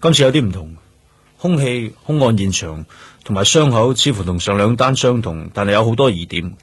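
Earnest, tired Hong Kong detective. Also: male voice, fairly low pitch, lively intonation, fairly quick, breathy edge, dry recording. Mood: businesslike. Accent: Hong Kong Cantonese. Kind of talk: radio drama acting.

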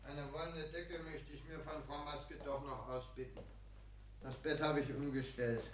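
A man's voice: fairly high pitch, steady tone, moderate pace, slight background noise, natural voice. An actor playing a touchy, fretful male hypochondriac lodger in a 1960s German radio play, fussy and aggrieved.

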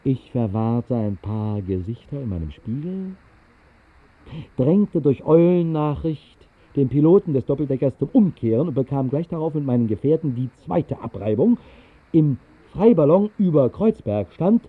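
Whimsical, animated radio-play storyteller, slightly dull sound. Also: male voice, mid-range voice, moderate pace, breathy edge. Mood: wry, musing. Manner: wry, conversational storytelling with musing asides. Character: whimsical man recounting a fantastical night flight over the city. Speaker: first-person narrator of a radio play. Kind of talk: 1960s literary radio play narration, storytelling.

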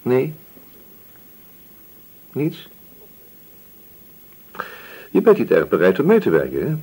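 An actor playing a male police inspector in a radio drama, questioning patiently but insistently with a slightly husky voice and deliberate pauses. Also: medium pitch, huge pitch swings, darkish tone, full character voice, rough recording. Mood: probing, patient. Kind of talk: Dutch radio drama interrogation acting.

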